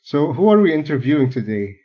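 A young man hosting a podcast interview in a relaxed, playful, unhurried way. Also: on the high side. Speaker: podcast host. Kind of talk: casual interview. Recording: dull lo-fi audio with faint music.

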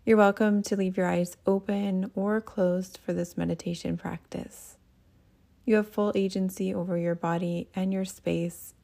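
A woman leading a guided meditation as a teacher, speaking in a gentle, soothing manner with breathy phrase endings. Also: medium pitch.

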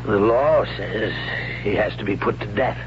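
An actor playing an older warrior hero who teaches swordplay in a gruff, blunt manner. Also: male voice, fairly low pitch, normal speed, noisy background, natural voice. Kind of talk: 1970s radio drama acting. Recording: dull old radio broadcast.

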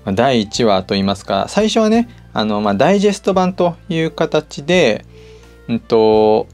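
A man's voice: medium pitch, very animated, normal speed, darkish tone, soft background music. A man hosting a podcast, talking casually and politely in a conversational way. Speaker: podcast host. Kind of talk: casual solo talk.

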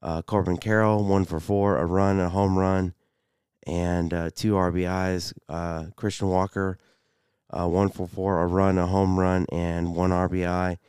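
Guy in his 30s, kinda stuffy nose, slow halting podcast talk, dry close mic. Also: low-pitched, level tone, a little mellow, smooth, no room sound. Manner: halting, many fillers and restarts. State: congested, slight cough. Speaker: podcast host. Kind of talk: solo sports podcast monologue.

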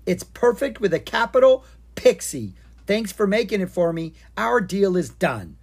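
A gushing, self-absorbed little pixie girl as a storybook narrator puts her on, bubbly and squealing. The voice is pushed high and swoops with husky excitement. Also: moderate pace.

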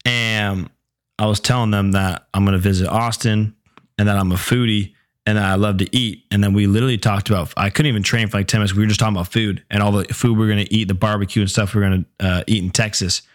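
A young man hosting his own podcast in a casual, chatty way, with a sore, hoarse voice that is giving out. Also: lowish voice, steady tone, fast. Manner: laid-back, chatty, swears casually. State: sore throat, losing voice. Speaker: podcast host. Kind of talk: casual solo podcast chat.

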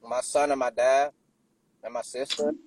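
A man from London chatting casually as an interview guest, laid-back, slangy and quick to swear. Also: medium pitch, fairly even intonation, darkish tone, dry recording. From Southeast London. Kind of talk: casual chat.

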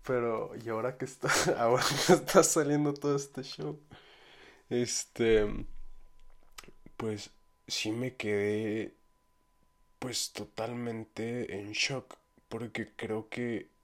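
A young Mexican man talks through a solo podcast in a low voice with little rise and fall. He rambles in a relaxed way, keeps coming back to fillers and restarts, and drops casual slang as he goes. His Mexican Spanish accent is clear throughout. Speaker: podcast host.

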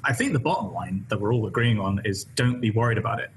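A young man from the West Country of England, chatting quickly and laughing as he co-hosts a live Q&A stream. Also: on the low side, a little hiss or hum, rough recording. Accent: English West Country. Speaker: co-host of live Q&A. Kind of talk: casual live-stream chat.

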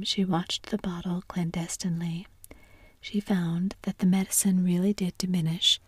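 Woman whispering a storybook, breathy and dry. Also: on the low side, dry recording. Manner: soft unvoiced whisper throughout. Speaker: narrator. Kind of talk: whispered audiobook reading.

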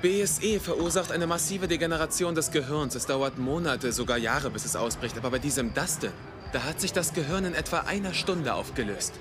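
Male actor, serious young monster hunter, questioning, dubbed series drama, slightly high voice, strong music. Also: fairly quick, lightly acted. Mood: serious, explaining. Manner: earnest, questioning. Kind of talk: German-dubbed TV series acting. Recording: loud music over the voice.

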